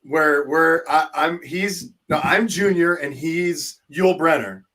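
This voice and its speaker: A man's voice: fairly high pitch, slow, sharp tone. A man co-hosting a comedy podcast, rambling and joking at his own expense. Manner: rambling, self-mocking banter. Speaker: podcast co-host. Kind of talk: casual comedic chat.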